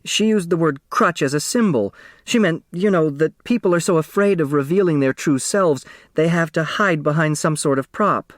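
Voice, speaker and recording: Man voicing a gentle young woman, raised pitch. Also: moderate pace, hardly any pauses, dry recording, clean studio sound, close to an everyday voice. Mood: anxious peacemaking. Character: gentle, anxious, eager-to-please young woman.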